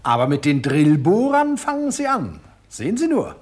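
Give me a silky, sadistic radio-drama villain: husky, rather high, his voice swooping up and down with mocking relish. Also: wildly swooping pitch, moderate pace. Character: suave sadistic villain, middle-aged man, mocking. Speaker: villain Sir Bogomil. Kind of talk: German radio drama acting.

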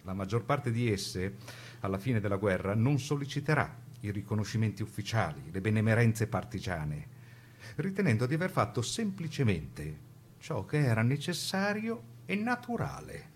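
Low-voiced man narrating, noisy recording. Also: very animated, moderate pace. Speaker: narrator of a radio documentary play. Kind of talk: dramatic scripted narration.

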